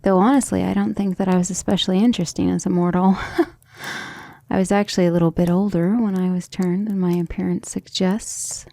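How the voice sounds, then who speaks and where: Woman playing a breathy, creaky-voiced tender vampire lady. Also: on the low side, fairly even intonation, a little fast, mellow, no room sound. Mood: wistful, confiding. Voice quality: breathy, often dropping into whisper. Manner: affectionate, refined, hesitant musing. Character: centuries-old vampire woman, tender, proud, devoted lover. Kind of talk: ASMR roleplay, intimate and slow.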